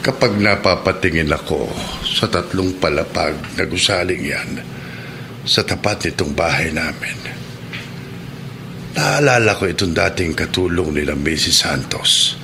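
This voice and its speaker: An actor playing a brooding, musing neighbor in a Filipino radio drama monologue. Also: male voice, low-pitched, expressive, unhurried, creaky, over music, exaggerated voice. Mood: pensive. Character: brooding neighbor recalling others' sad lives.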